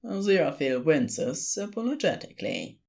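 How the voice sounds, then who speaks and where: A narrator reading a scripted story in a deliberate, expressive storytelling manner. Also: medium pitch, creaky.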